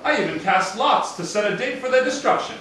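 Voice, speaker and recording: A man's voice: high voice, lively intonation, a little fast. A man voicing a cruel, scheming, pompous villain, the king's second-in-command, in a children's puppet show. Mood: oily, deferential. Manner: pompous, sneering, theatrical. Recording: echoey room, distant mic, background noise.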